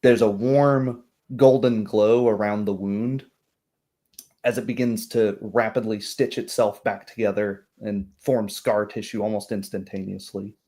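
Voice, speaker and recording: A man acting as game master, improvising narration for a tabletop roleplay in hesitant, pausing phrases. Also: on the low side, steady tone, dark tone.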